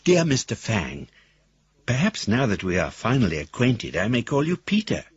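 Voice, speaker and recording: Lively, gloating rich businessman. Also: mid-range voice, normal speed, breathy edge, dry recording, heavily acted. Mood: gloating, cordial. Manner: falsely cordial, self-satisfied. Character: smooth-talking, gloating wealthy businessman writing to a rival. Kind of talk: radio drama, letter read aloud. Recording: dull, muffled radio recording.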